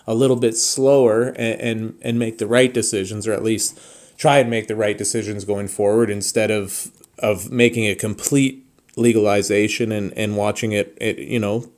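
A man hosting a solo podcast, speaking straight to listeners in a deliberate, halting way with pauses mid-thought. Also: lowish voice, flat delivery, a little mellow. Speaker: podcast host.

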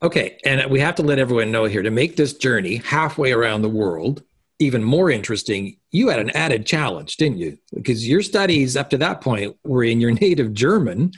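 A man hosting a podcast, reading a scripted introduction and then interviewing a guest in a polished, measured way. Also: mid-range voice, breathy edge. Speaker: podcast host.

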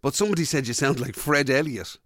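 A man's voice: medium pitch, fast, no room sound, studio quality. An Irish man co-hosting a radio show in casual, teasing banter, sometimes searching for the word. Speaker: radio co-host.

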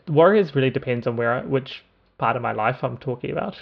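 Podcast co-host, New man, gravelly voice, hesitant rambling chat, muffled lo-fi audio. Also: mid-range voice, animated, quick pace. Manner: hesitant, rambling, with many ums and restarts. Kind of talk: casual chat.

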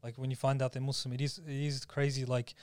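A young Australian man chatting casually on a podcast, animated and peppering his talk with bro and man. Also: medium pitch, quick pace, dry recording.

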